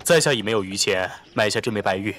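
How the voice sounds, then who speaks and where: An actor playing a calm, earnest young constable in an audio drama. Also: male voice, lowish voice, fast, slight background noise, lightly acted. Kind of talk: Chinese audio drama acting.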